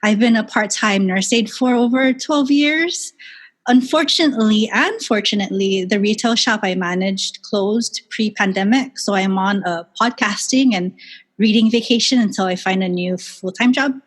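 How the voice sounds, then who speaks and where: Woman, from Hawaii, podcast guest, measured, steady, reads from notes, mid pitch.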